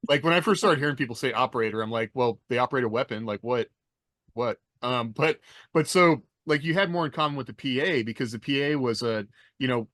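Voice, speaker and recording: A man with a mid-pitched voice explains things informally on a podcast, in a dry recording. His delivery is halting, with frequent rephrasing. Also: fairly bright tone, dry recording. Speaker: podcast panelist, military veteran. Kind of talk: casual explanatory chat.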